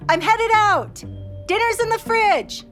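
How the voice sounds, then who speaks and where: A woman's voice: very high-pitched, unhurried, fairly bright tone, soft background music. An actress playing a busy mother calling out to her son from another room in a family audio drama. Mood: hurried, calling out.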